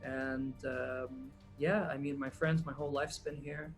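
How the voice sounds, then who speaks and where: A man's voice: mid-range voice, a little slow, soft background music. Laid-back man, filmmaker guest. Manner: relaxed, rambling, many ums and uhs. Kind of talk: casual interview answers.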